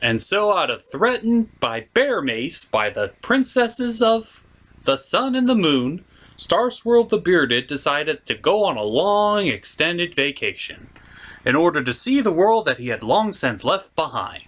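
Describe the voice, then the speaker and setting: Male online reviewer, slightly high voice that swoops around, casual and opinionated, showy and blunt. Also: very animated, measured pace, a bit bright.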